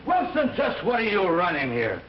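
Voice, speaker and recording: An actor playing a skeptical, exasperated but protective police chief in an old radio thriller, speaking bluntly. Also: male voice, high voice, huge pitch swings, normal speed, noisy background. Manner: blunt, reasoning. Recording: dull old radio recording.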